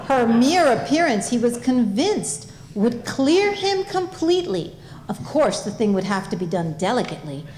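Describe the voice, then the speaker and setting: High-voiced woman narrating in an echoing room. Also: big pitch swings, moderate pace, a little mellow. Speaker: story narrator. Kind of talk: comic scripted narration, staged reading. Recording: roomy, echoing live recording.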